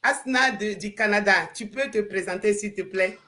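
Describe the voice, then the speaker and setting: A woman's voice: medium pitch, normal speed, a bit bright. A woman from Burkina Faso hosts a live online chat, hesitating and restarting her phrases. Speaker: livestream host.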